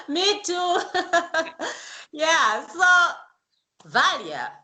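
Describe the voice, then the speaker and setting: A woman's voice: extremely high pitch, very animated, extremely slow, sharp tone, echoey room. A woman hosting her own show, chatting in a casual interview with effusive, gushing praise. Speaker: YouTube show host.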